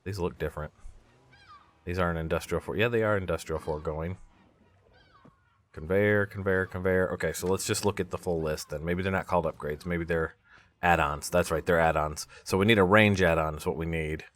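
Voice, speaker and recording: Low-pitched man talking through gameplay. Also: steady tone, brisk, fairly bright tone. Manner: thinking aloud, talking himself through steps. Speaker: gaming video host. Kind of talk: casual let's-play commentary.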